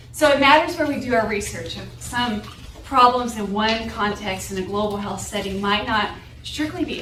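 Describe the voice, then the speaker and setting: A woman's voice: fairly high pitch, a bit bright. A young woman from New Mexico and Texas with a light Southwestern accent and a North Carolina drawl, giving an academic seminar talk from a podium and often checking in with "right?". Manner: explanatory, often adds "right?" to check in with the audience. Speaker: guest lecturer. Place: lecture room, standing at a podium. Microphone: room recording from a far camera. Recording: echoey room audio from far off with background noise.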